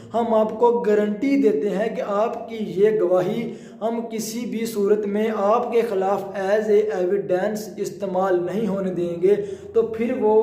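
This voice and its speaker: A man's voice: high voice, dark tone. A man with a Pakistani accent giving an informal explanatory law lecture, repeating and rephrasing himself and mixing in English terms. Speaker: law teacher. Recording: roomy, echoing sound.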